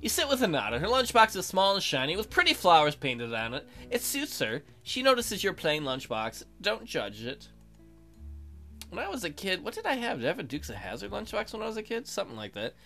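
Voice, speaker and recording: Male let's play host, lively swinging pitch, sarcastic asides between read-aloud text, music behind. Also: a little fast, vocal fry. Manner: joking, sarcastic, swearing. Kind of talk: casual game commentary, reading text aloud.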